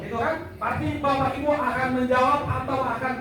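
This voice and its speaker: A man's voice: very high voice, a little fast. A man working as emcee at a community gathering, energetically leading the crowd in call-and-response chants. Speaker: event emcee. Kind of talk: hyping a campaign gathering. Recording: echoey hall miked from far off. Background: lively crowd.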